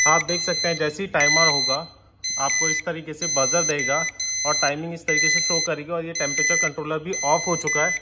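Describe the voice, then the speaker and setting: A man giving an unscripted, step-by-step product demonstration, mixing in English technical terms. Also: a little high. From India.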